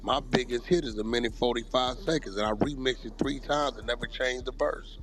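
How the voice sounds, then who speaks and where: A man's voice: mid-range voice, fairly quick, a bit bright. A man in a heated podcast debate, talking bluntly and profanely about the music business.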